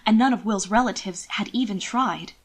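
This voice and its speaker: A woman narrating an audiobook, reading scripted fiction in an expressive storytelling style. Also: mid-range voice, normal speed.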